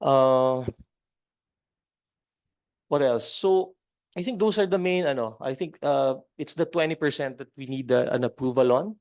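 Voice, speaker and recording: A man presenting a proposal in a business meeting, halting with many fillers and restarts, heard over a video call. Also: medium pitch, darkish tone.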